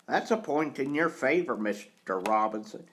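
A man voicing a calm, reasonable detective in a storybook, speaking in a measured way. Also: mid-range voice, slight background noise, natural voice.

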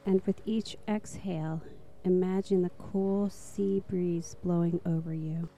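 A woman narrating a guided meditation in a soft, slow, soothing manner. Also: on the low side, fairly even intonation, slight background noise.

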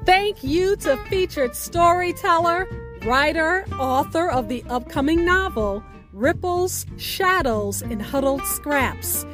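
A woman radio host delivering a scripted show outro in a deliberate, carefully enunciated announcing manner. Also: high-pitched, lively intonation, music in the background.

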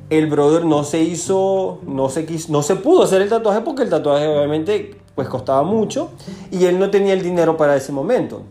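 A man with a Venezuelan accent and a slightly higher than average voice. He talks casually and uses slang, hosting a conversational interview as a tattoo artist, with faint music behind him. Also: mellow.

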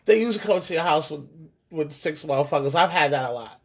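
A man with a light, high voice, hosting a podcast and telling animated, profane stories in casual chat with friends. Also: quick pace, husky. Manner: animated, profane, laughing storyteller. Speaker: podcast host.

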